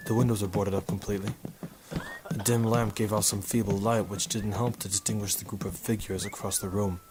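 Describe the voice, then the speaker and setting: Male actor as noir sleuth, deep-pitched, dry, cocky, wisecracking, 1950s radio drama style, noisy recording. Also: steady tone, a little fast, close to an everyday voice. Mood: brooding narration. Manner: dry, wisecracking, hard-boiled. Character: wry, cocky male war-photographer turned detective, noir narrator.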